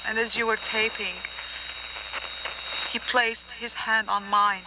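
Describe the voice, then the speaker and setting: A woman's voice: a little high, little pitch movement, slow, noisy background. A woman acting a wistful character in an intimate, reflective film monologue. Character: wistful woman recalling a lost marriage.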